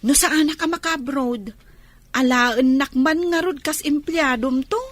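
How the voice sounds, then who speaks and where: A woman's voice: high voice, slow, a bit bright, no room sound. A woman playing a curious, easily amazed young friend in a radio drama, inquisitive and exclamatory.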